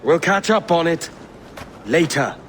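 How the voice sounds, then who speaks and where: Roguish pirate, noisy surroundings. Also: male voice, fairly high pitch, lively intonation, measured pace, a bit bright. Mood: evasive. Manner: irreverent, colloquial, sly. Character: brash, greedy, roguish pirate man posing as another. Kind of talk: video game cutscene acting. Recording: noisy ambience behind the voice.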